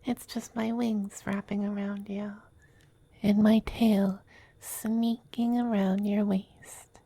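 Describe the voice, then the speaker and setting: A close, dry, mellow voice for a tender demon femboy played by a woman in an ASMR roleplay. He speaks slowly and softly, slipping into breathy whispers. His manner is gently coaxing and hesitant, lovingly possessive. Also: medium pitch, level tone, no room sound. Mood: soothing, coaxing.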